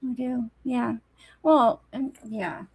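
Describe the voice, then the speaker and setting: A woman's voice: on the high side, steady tone, takes it slowly, slightly rough, no room sound, low-quality recording. A woman chatting casually about her beliefs in a reflective, plain-spoken and opinionated way. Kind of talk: casual spiritual conversation.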